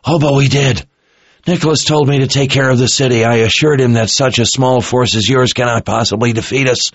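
A man's voice: mid-range voice, fairly even intonation, husky, no echo, pitched above the performer's own voice. A man voicing a captured enemy officer, a dazed yet smugly menacing thug in a fantasy audiobook. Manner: mumbling, halting. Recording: muffled, dull-sounding recording.

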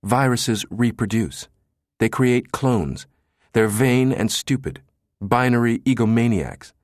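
Audiobook role: male narrator as bellowing computer chief, crude and sardonic, raised pitch, very dry studio sound. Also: lively intonation, slow, no room sound. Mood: grimly sardonic. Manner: brash, sardonic, spitting out words. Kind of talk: audiobook character dialogue.

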